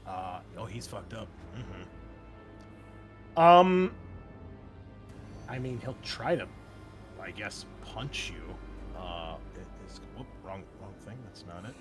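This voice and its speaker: A man's voice: low voice, lively intonation, slow pace, long pauses. A man running a tabletop roleplaying game as game master, improvising action and rulings in a casual, profane way, thinking aloud. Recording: loud music behind the voice. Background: music.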